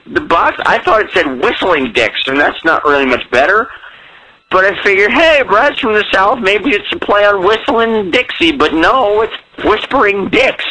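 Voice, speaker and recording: A man's voice: sits high, bright, forceful tone, no room sound, rough recording. A drunk man rambling in a campy, comic way as he leaves a casual voicemail over the phone. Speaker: listener phoning in a voicemail.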